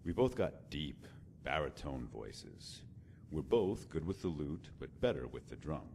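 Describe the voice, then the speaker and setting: A man playing a genial, reflective bard and poet in a fantasy game, with a deep baritone and an easygoing, musing manner. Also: big pitch swings, a little slow, darkish tone, slight background noise, exaggerated voice. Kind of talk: scripted fantasy game dialogue.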